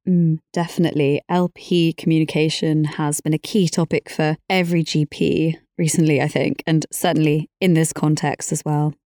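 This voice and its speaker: Lowish-voiced woman interviewing, dry close sound. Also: level tone, moderate pace, few pauses, mellow, no echo. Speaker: interviewer, podcast host. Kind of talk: prepared business interview questioning.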